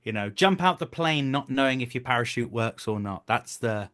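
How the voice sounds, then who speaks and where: A man's voice: mid-range voice, animated, moderate pace, fairly bright tone. A man in his thirties speaking as a voice acting coach in a conversational interview, explaining things earnestly. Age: 30s. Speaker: voice actor, director and coach.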